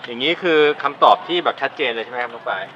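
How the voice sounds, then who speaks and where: A man's voice: mid-range voice, racing, pushed and bright, muffled recording. A man, explaining a product demonstration in a casual way. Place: outdoors in a driveway. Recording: clear outdoor background noise.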